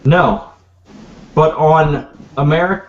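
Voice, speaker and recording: A young man co-hosting a casual podcast, speaking in dry, deadpan remarks and loose banter. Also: a little high, slow, lo-fi sound. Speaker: podcast co-host.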